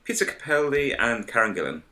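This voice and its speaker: A man's voice: mid-range voice, big pitch swings, a little fast, pushed and bright. A man co-hosting a podcast in casual banter. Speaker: podcast co-host.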